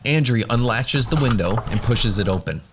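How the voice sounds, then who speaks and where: A man's voice: medium pitch, animated, moderate pace, noisy background. A man narrating a scripted fantasy audio drama in the role of game master.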